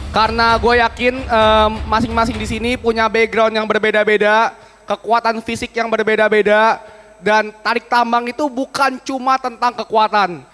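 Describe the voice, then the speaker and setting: A man hosting a game show, energetic and slangy, calling out contestants loudly. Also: high voice. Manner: hyped, slangy, calls out names loudly. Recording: music under the voice. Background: music.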